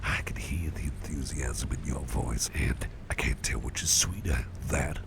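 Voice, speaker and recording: A man playing a doting, protective businessman boss in an ASMR roleplay, murmuring in a gentle, courteous, breathy whisper with unhurried, reassuring repetition. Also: rumbling low, exaggerated voice. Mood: pleased, doting. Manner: gentle, unhurried, hesitant pauses, repeats phrases reassuringly. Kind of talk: ASMR roleplay, close personal attention. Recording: audible steady background noise.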